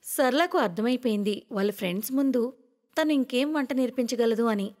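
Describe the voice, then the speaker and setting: A woman's voice: fairly high pitch, brisk, darkish tone. A woman narrating a scripted moral story. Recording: long reverberant hall echo.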